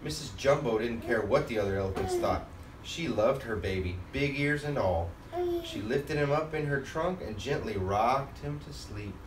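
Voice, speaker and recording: A man reading a children's storybook aloud as a father and host, in an expressive manner. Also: medium pitch, moderate pace. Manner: expressive, acts out character lines. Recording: roomy, distant mic, faint background noise.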